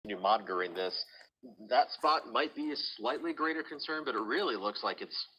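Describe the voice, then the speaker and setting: A man's voice: mid-range voice, lively intonation, moderate pace, sharp tone, slightly rough, poor audio. A man working as a TV meteorologist, giving live severe weather commentary in a conversational, explanatory way.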